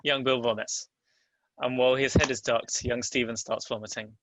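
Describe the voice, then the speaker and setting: A man's voice: mid-range voice, steady tone, normal speed, a bit bright, poor audio. A man reading stage directions as scripted narration at a table read.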